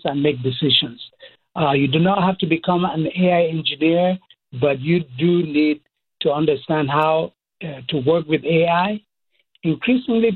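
A man with a Cameroonian accent explaining things as an expert interview guest, deliberately, listing points in short phrases. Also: fairly high pitch, slight background noise. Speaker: expert guest, professor and AI researcher. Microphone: phone call. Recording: muffled, thin phone line.

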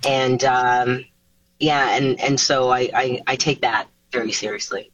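A woman, a singer taking part in an interview over a video call, answering casually with animated pitch swoops and many restarts. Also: a little slow, no room sound. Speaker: singer, guest. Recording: dull, dry video call audio.

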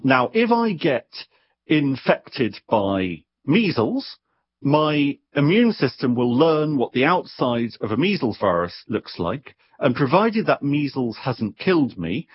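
A man speaking as an expert guest, explaining things conversationally in an animated, wry way, sometimes doubling back on his words. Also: medium pitch, breathy edge, lo-fi sound.

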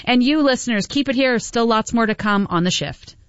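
High-voiced Canadian woman radio host. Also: animated, a little fast, dry recording, dull audio. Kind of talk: casual radio chat.

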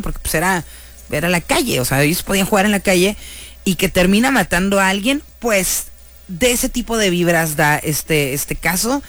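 Animated Mexican woman storyteller. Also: fairly low pitch. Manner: animated, slangy, acts out quoted dialogue. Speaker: storyteller recounting true-crime cases. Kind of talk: casual chatty storytelling to an audience.

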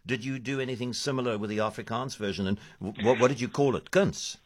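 A man's voice: mid-range voice, fast talker, no echo, studio quality. A man hosting an arts interview, talking in a rambling way with restarts and fillers. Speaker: radio arts host.

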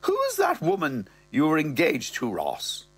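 A man voicing a posh, blunt, tipsy elderly mother, imperious and scandalised, with long drawn-out words. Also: fairly high pitch, huge pitch swings, husky, faint music behind, pitched above the performer's own voice, full character voice. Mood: haughty, droll.

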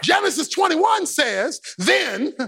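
Impassioned preacher man with a gravelly, high voice. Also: big pitch swings, takes it slowly, sharp tone, raised voice, a faint crowd, a little hiss or hum. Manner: impassioned, repetitive, sing-song preaching cadence. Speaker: pastor. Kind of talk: shouted sermon preaching.

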